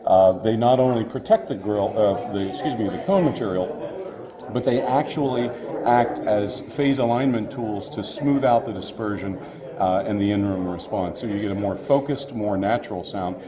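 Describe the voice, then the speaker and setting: Low-voiced man demonstrating products in a crowd. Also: normal speed. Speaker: loudspeaker company representative presenting a product line. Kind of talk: unscripted product demonstration at a trade show. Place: indoor trade show floor. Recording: busy crowd noise around the voice. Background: crowd chatter.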